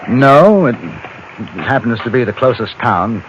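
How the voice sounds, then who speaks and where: An actor playing a courteous, unflappable gentleman correspondent in the Old West, measured and dryly amused, in old-time radio style. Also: mid-range voice, animated, slight background noise. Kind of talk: old-time radio drama dialogue and first-person narration. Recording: dull old radio recording, music behind.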